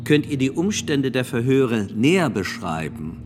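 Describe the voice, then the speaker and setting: An actor playing a formal, probing examiner at a medieval church inquiry in a radio play. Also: male voice, medium pitch, sing-song, measured pace, music in the background.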